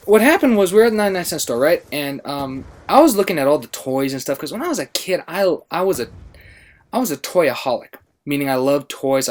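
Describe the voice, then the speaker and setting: An American man with a mid-pitched voice tells a story casually on his gaming channel. He rambles along, breaking off and picking his thread back up. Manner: rambling, self-interrupting. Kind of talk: casual storytelling over gameplay.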